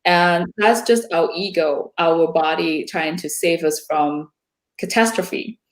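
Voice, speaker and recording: A woman coaching a client, speaking calmly and reassuringly. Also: lowish voice, reverberant, rough recording. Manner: calm, reassuring, slows down for meditation. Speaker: intuition coach. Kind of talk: coaching session and guided meditation.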